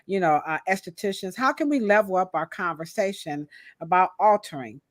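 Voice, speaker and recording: A woman's voice: on the low side, brisk, dry recording, studio quality. A woman hosting a livestream who calls out the chat and hands the floor to guests. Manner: excitable, hypes the chat. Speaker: host.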